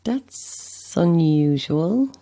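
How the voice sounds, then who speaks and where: A woman's voice: fairly low pitch, expressive, drawn-out words, creaky, dark tone, heavily acted. A woman playing a polite, drily sceptical actress who asks wary questions, in a naturalistic audio drama. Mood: puzzled, polite.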